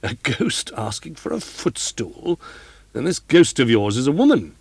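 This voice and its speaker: A man's voice: mid-range voice, sing-song, a little slow, creaky, a little mellow, slightly rough, pitched above the performer's own voice, heavily acted. A man voicing an amused male opera co-manager asking wry questions.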